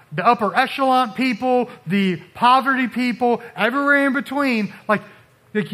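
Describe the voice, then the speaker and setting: A man preaching a casual sermon in a high, bright, forceful voice. He talks loosely, with many fillers, and often acts out what people say. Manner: casual, animated, with frequent fillers and acted-out quotes. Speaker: pastor.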